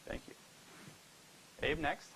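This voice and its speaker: A man's voice: takes it slowly, sharp tone, a little hiss or hum. A man moderating a panel discussion with short prompts that hand over to each speaker. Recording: echoey room sound.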